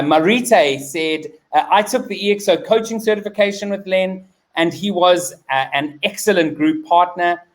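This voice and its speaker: Guy hosting a live online interview, voice on the higher side, kinda halting delivery. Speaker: host.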